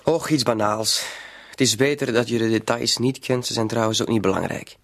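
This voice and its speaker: An actor playing a shady small-time crook in a radio play, hesitant yet smooth-talking and insinuating, coaxing with a quiet threat underneath. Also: male voice, mid-range voice, fairly even intonation, moderate pace, natural voice. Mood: persuading. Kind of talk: Dutch-language radio play acting.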